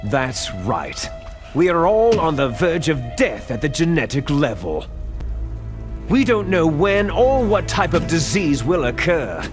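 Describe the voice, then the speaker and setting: An actor playing a cold, scheming male villain who lectures slowly and menacingly in a video game cutscene. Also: a little high, very animated. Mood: lecturing. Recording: game audio with music behind.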